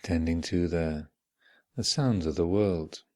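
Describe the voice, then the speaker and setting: A man leading a guided meditation as a teacher, speaking in measured, contemplative short phrases with long reflective pauses. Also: deep voice, dark tone. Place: meditation retreat hall.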